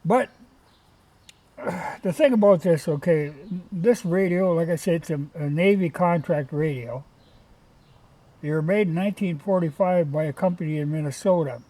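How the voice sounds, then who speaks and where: A man's voice: fairly high pitch, moderate pace, mellow, rough recording. A man chatting in a folksy, rambling way in a casual, unscripted show-and-tell. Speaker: vlogger showing old radios from a junk collection.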